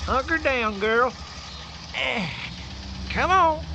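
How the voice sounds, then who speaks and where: An actor playing an excitable, teasing country bumpkin with a Southern accent and a folksy, stammering way of talking in a comic radio drama. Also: male voice, very high voice, extremely slow, gravelly, noisy background, full character voice. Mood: straining, panicked. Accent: rural American South.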